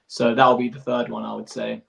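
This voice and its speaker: Creaky-voiced man in a roomy space. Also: mid-range voice, completely flat delivery, fairly quick, fairly bright tone. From born in Iraq, moved abroad as a young child. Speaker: fitness coach and business owner. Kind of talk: casual informative interview answers.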